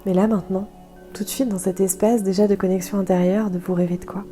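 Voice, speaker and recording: A woman guiding a yoga nidra meditation in a soft, calm, soothing way, leaving gentle pauses. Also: medium pitch, soft background music. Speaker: guided meditation instructor.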